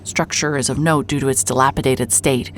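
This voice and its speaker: A woman's voice: very deep voice, fairly even intonation, brisk, slight background noise. A woman acting as a hard-hearted, wry and nervy private investigator in a cinematic audio drama, muttering to herself as she works. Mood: businesslike. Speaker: lead actor and narrator of an audio drama. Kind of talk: cinematic audio drama, first-person noir narration and case dictation.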